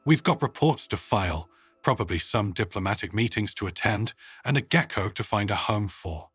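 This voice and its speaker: Male narrator as practical female space leader, wry, conversational, animated intonation, husky edge, sci-fi storybook. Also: lowish voice, normal speed, no echo, studio quality, exaggerated voice.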